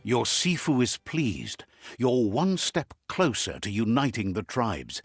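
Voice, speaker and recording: A man narrating a video game in a measured storytelling style, relaying what the characters say. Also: medium pitch, wildly swooping pitch, slightly rough, no echo, clean studio sound.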